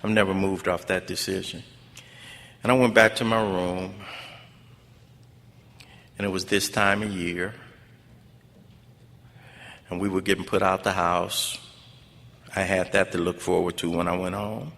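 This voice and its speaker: A man's voice: deep voice, level tone, unhurried, pauses often, a bit bright. An American man giving a personal testimony speech at a convention, preacher-like and emphatic, repeating phrases in colloquial English. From Los Angeles, United States. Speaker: speaker at a recovery fellowship convention. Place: large conference hall. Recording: echoey hall PA with background noise.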